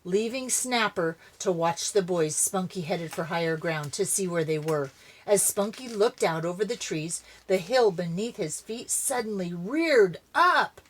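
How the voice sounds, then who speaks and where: An older woman, a grandmother, reading a children's story aloud in an animated, dramatic way. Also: fairly low pitch, a little slow, low-quality recording.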